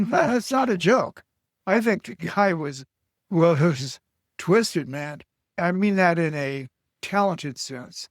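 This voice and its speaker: Slow, halting older man, breathless. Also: on the high side, no room sound, clean studio sound. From Alaska. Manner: halting, with many pauses and fillers. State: short of breath, on supplemental oxygen. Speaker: guest. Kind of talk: slow reflective interview answers.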